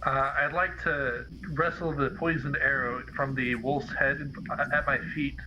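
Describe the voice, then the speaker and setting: A man casually describing moves as a player in a tabletop roleplaying game, halting and restarting sentences. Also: mid-range voice, fairly even intonation. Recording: dull lo-fi audio with clear noise.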